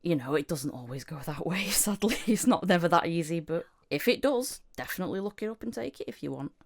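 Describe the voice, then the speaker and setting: Low-voiced woman hosting a casual interview. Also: expressive, a little fast. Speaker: podcast host, voice actor.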